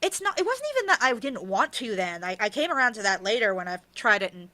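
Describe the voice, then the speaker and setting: Bright, higher-pitched woman talking candidly. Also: big pitch swings, fast talker. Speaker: author being interviewed. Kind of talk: candid conversational interview.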